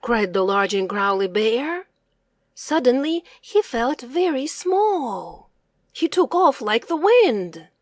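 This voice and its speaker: Slow, expressive woman storyteller. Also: fairly high pitch, no echo, studio quality. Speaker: children's story reader. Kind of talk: read-aloud storytelling.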